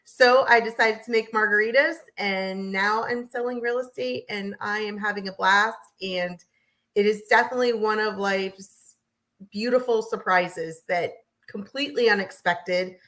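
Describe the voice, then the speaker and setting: An American woman from New Hampshire telling her story as an interview guest in an animated, chatty way. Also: medium pitch, moderate pace, rough recording. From southern New Hampshire, United States. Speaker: guest, realtor.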